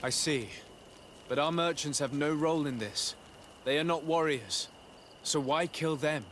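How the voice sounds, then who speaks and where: A man playing a calm, courteous noble envoy in a video game, speaking in a measured, formal, conciliatory way. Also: fairly high pitch, slight background noise. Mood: reasoning, concerned. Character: calm diplomatic male legate, courteous young noble envoy. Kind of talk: scripted video game cutscene dialogue.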